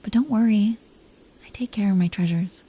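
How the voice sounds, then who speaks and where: A woman playing an affectionate, possessive dragon queen in an intimate romantic roleplay audio, speaking softly and haltingly with a playful, teasing manner. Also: mid-range voice, slightly rough. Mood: possessive, softly menacing.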